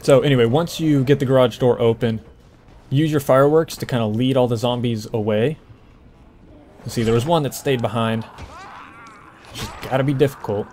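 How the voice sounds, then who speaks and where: A man's voice: medium pitch, normal speed, long pauses, a little mellow, slight background noise. Casual man giving game walkthrough commentary. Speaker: gameplay walkthrough host. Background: video game ambience.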